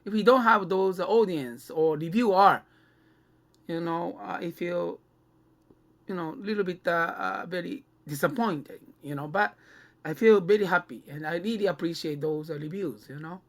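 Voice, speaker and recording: A man with a Japanese accent co-hosts a podcast, speaking conversationally in halting non-native English. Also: high voice, a bit bright. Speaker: podcast co-host and filmmaker.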